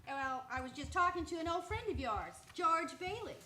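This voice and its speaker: A woman's voice: very high-pitched, animated, moderate pace, sharp tone, roomy sound, slight background noise, full character voice. An actress playing a poised young woman with a hesitant suitor in a radio play, direct and prodding. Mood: brisk.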